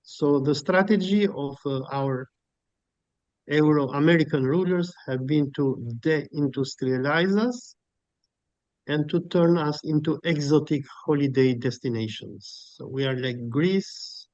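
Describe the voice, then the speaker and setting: A man's voice: on the high side, a little slow, darkish tone, no room sound. Guy from albania lecturing online, lots of uh's, kinda muffled and dry. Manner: emphatic, with frequent uh fillers. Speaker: guest speaker. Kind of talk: academic webinar lecture and Q&A.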